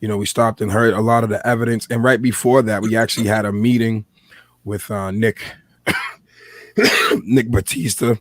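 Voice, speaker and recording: A man with an American accent speaks in a low, slightly hoarse voice. He hosts a casual podcast, feeling his way through his thoughts. The sound is dry, with very little room in it. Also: little pitch movement, normal speed, pauses often, dry recording. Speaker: podcast host.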